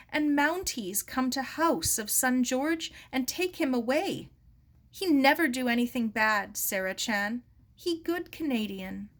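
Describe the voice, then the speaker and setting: Woman voicing a humble immigrant man, halting. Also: high-pitched, pitched above the performer's own voice. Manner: broken English, halting and polite. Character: gentle, humble older Japanese immigrant man, sorrowful.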